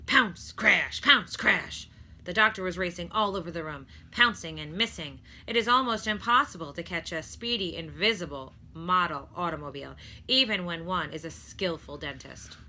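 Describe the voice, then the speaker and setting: A woman reading a children's story aloud in an expressive narrating style, like a teacher to pupils. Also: fairly low pitch, normal speed.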